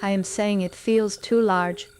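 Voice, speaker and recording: An actress playing a sharp-tongued, alert elf archer with formal, controlled speech in a fantasy audio drama. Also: female voice, medium pitch, steady tone, unhurried, a little hiss or hum, lightly acted. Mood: tense, alert.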